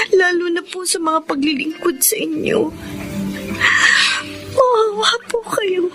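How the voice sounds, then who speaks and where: A woman's voice: extremely high pitch, full character voice. A woman playing a devout, respectful teenage older sister in a Filipino radio drama, speaking earnestly. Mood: tearful pleading. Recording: music under the voice.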